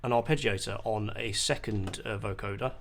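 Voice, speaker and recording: A man presenting an informal music software demonstration, explaining in a hesitant, halting way. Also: fairly low pitch, creaky, slight background noise. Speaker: music producer, tutorial presenter.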